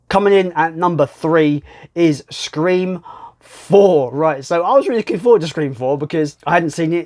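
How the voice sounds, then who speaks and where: A man with a slightly high-pitched voice hosts a casual film ranking. He talks bluntly and swears freely. He often repeats words and phrases to drive a point home. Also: darkish tone. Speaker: film reviewer, video host.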